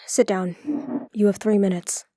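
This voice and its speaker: A woman acting a guarded, sharp-tongued, hurt ex-girlfriend in an audio drama, with a curt, sardonic manner. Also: mid-range voice, flat delivery, slow, dry recording, studio quality.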